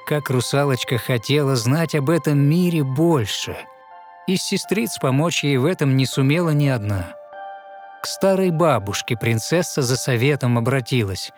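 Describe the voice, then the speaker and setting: A man's voice: mid-range voice, expressive, normal speed. A man with a mid-range voice narrates a fairy tale in verse. His delivery is scripted, rhythmic storytelling with expression. Faint music plays behind him.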